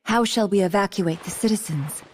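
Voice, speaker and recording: A woman's voice: medium pitch, normal speed, a little mellow, natural voice. Woman playing a stern royal guard commander. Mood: grave, formal. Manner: formal, solemn. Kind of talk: dramatic video game acting.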